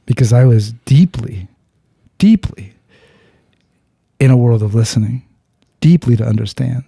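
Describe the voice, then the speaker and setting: A man's voice: medium pitch, a little slow, vocal fry, mellow, husky, dry recording, clean studio sound. A podcast host talking through a personal story with his guest. He speaks earnestly, searches for words, restarts often, and swears bluntly. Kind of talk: candid storytelling in conversation.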